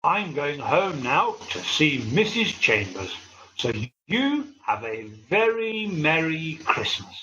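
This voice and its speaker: An older, jolly delivery man from a children's storybook, acted out by a reader, chatty and earnest. The voice is bright and moves up and down a lot. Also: mid-range voice, very animated, slow, a little hiss or hum, exaggerated voice, poor audio. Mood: warm, thankful.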